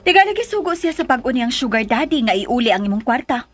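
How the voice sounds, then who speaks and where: A woman's voice: on the high side, sing-song, fast. A woman playing a jealous, sarcastic lover who taunts emphatically in a Filipino radio drama.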